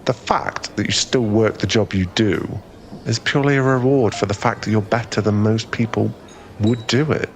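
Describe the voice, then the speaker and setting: A man's voice: fairly low pitch, steady tone, normal speed, slight background noise, natural voice. A man playing a friendly, easily flustered young guy in a conversational ASMR boyfriend roleplay, with a hesitant, rambling, self-effacing way of talking. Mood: sympathetic, reassuring.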